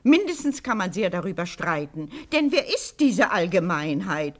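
A woman's voice: lowish voice, big pitch swings, normal speed. An actress playing a strong-willed, unsentimental older woman in a radio play, speaking precise in an imperious, argumentative manner. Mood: lecturing, insistent. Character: strong-willed, sharp-witted old woman, bossy and unsentimental. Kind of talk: German radio play acting.

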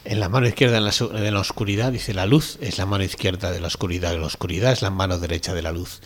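A man's voice: low-pitched, fairly even intonation, quick pace. A man co-hosting a radio show, talking casually about books and quoting from them.

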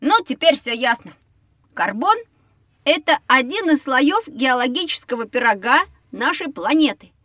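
A curious, matter-of-fact little schoolboy in a children's audio adventure. The voice is extremely high and closely recorded with a dry sound, eager and a little cheeky. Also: female voice, expressive, normal speed, a bit bright, no echo. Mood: curious, satisfied. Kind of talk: children's educational audio drama acting.

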